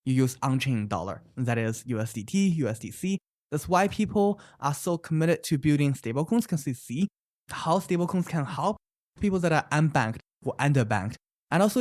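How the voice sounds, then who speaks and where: Founder, thirties, really lively up-and-down voice, lots of "right?" and examples. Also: medium pitch, no echo, studio quality. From China. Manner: animated, emphatic, often adds "right?"; explains with many examples. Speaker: startup founder. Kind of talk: interview answers.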